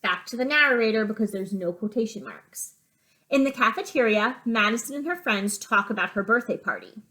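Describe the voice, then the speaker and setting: A woman with a somewhat high-pitched voice speaks as a teacher to young children. She moves between reading a picture book as narrator and explaining it in plain, simple phrases. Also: expressive, normal speed, pushed and bright, low-quality recording. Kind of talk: reading lesson with storybook read-aloud and asides.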